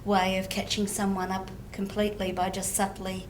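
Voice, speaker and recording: A woman's voice: mid-range voice, completely flat delivery, moderate pace, noisy background. A woman barrister sharing her observations thoughtfully in a panel discussion.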